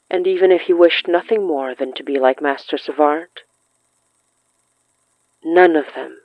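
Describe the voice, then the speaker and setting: A narrator telling a scripted literary horror story in a measured way, with dramatic pauses between short phrases. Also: medium pitch, dry recording, dull audio. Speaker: narrator of a horror fiction podcast.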